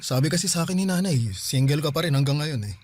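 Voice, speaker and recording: A man's voice: a little high, expressive, fast talker, darkish tone, slightly rough. A man playing a teasing but earnest older brother in a Filipino radio drama, talking in a coaxing way. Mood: apologetic, coaxing.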